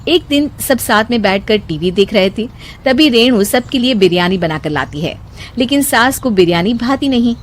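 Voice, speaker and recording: Casting: female cartoon story narrator, fairly high voice, faint noise underneath. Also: animated, normal speed.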